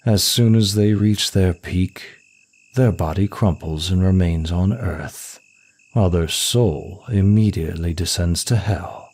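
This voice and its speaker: A demon prince of lust played by a man speaks in a low voice, taking his time over each phrase. He is suave, smug and taunting, an arrogant seducer in an intimate audio roleplay. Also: darkish tone, breathy edge, exaggerated voice. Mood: seductive. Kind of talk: intimate ASMR roleplay monologue.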